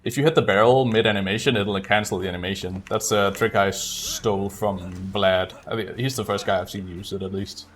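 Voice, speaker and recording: Low-voiced man giving casual speedrun commentary. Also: fairly even intonation, fairly quick, few pauses, a bit bright, slight background noise. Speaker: speedrunner streaming a game run.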